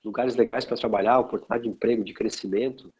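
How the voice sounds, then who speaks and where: A man's voice: medium pitch, fairly even intonation, quick pace, a little mellow, breathy edge. A man from São Paulo with a São Paulo accent, talking as a guest in a casual online interview, rambling and restarting often. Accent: São Paulo Portuguese. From São Paulo, Brazil. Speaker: guest, logistics specialist. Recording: muffled lo-fi sound.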